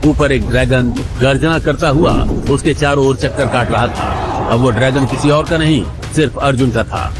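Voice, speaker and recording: A man's voice: mid-range voice, moderate pace, music in the background. A man narrating an audio drama in a dramatic, scripted storytelling style.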